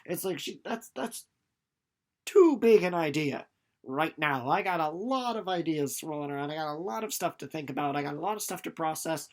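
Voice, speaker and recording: A man's voice: on the high side, animated, moderate pace. A young man giving a casual, informal book recap, playful and rambling off into asides. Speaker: book reader and presenter.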